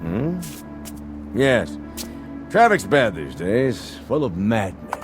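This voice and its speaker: Low-voiced, imperious rich man. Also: sing-song, slow, music in the background. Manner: imperious, dismissive. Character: haughty, grumbling wealthy businessman. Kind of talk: television drama acting.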